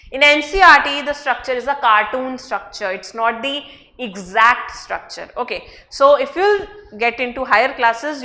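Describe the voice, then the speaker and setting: Indian-accented woman lecturer, high bright voice, patient step-by-step explainer. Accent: Indian English. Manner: explains step by step with frequent checks like okay and right. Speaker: biology teacher. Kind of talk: online class lecture.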